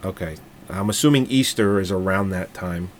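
A man talks films in a loose, casual podcast chat, with a fairly low voice. He jokes crudely and breaks into laughter often. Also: fairly quick, slight background noise. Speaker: podcast co-host.

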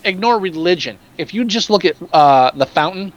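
A man's voice: high-pitched, lively intonation, moderate pace, highs cut off. A man co-hosting a casual movie podcast, opinionated and profane, talking through films with energy. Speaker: podcast co-host.

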